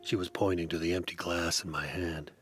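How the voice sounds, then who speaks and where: A man with a very low, deep voice narrates a hardboiled detective tale. His delivery is dry and deadpan with a wry edge, and faint music plays underneath. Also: a little fast.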